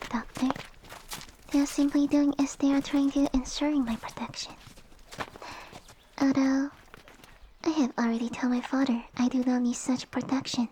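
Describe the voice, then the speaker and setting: A woman playing a sassy, tiny snake-girl noble with pompous, formal, commanding speech and a soft breathy voice, in an ASMR roleplay. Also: high-pitched, a little hiss or hum, rough recording. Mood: imperious, dismissive. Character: haughty, sassy young lamia noblewoman, tiny monster girl. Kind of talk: ASMR roleplay audio drama.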